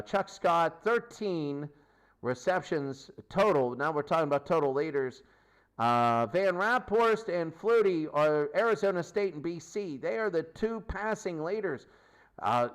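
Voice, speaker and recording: A man giving an unscripted sports stats recap as host, listing figures in short, clipped phrases. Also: fairly high pitch. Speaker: sports show host and commentator.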